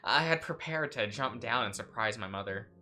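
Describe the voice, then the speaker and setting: A man's voice: mid-range voice, expressive, normal speed, pushed and bright, soft background music. A young man hosting a let's play, chatting casually, laughing often, and reading game text aloud with frequent stumbles.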